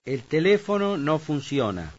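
A man's voice: a little high, very animated. Man reading a Spanish lesson, lo-fi tape. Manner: slow, clear read-aloud delivery. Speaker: language course speaker. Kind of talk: scripted language lesson reading. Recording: dull lo-fi tape audio.

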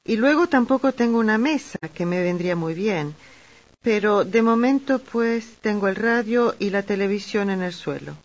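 A woman reading lively scripted dialogue for a language course. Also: medium pitch, moderate pace. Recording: dull lo-fi tape audio.

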